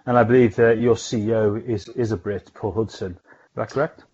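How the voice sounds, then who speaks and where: A man hosts an interview with polite, tentative questions and frequent repeated words. His voice is fairly low. The recording sounds muffled and lo-fi. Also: steady tone, normal speed, a little mellow, breathy edge. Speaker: podcast host and chief scientist. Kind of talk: interview hosting.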